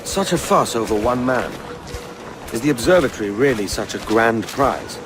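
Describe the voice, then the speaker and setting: A man's voice: medium pitch, expressive, normal speed, music in the background, lightly acted. An actor playing a roguish impostor pirate in a video game, offhand and guarded as he bluffs. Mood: guarded, bluffing. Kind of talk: dramatic video game acting.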